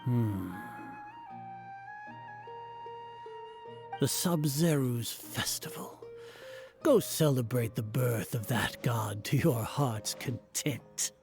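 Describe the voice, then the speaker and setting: Pompous, scornful grand scholar. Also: male voice, medium pitch, slow, vocal fry, over music. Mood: cold, commanding. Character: haughty authoritarian male grand scholar, contemptuous of art. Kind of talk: video game voice acting.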